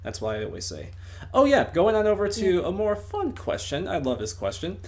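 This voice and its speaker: A man's voice: high voice, wildly swooping pitch, moderate pace, darkish tone. A man hosting a casual interview over a voice call. He speaks earnestly and hands out motivational sayings. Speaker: interviewer, host. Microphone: computer mic on a voice call.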